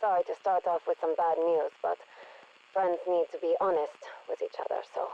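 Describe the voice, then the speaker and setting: An actress playing a rogue intelligence operative in a game audio log, speaking with dry deadpan humour and deliberate phrasing through a radio filter. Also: female voice, lowish voice, vocal fry, muffled recording. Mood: wry, candid. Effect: radio transmission filter. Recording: hissy radio transmission with static.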